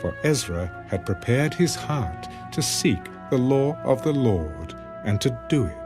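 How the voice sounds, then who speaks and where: A man narrating scripture for a dramatised audiobook, with solemn, deliberate phrasing. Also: medium pitch, darkish tone.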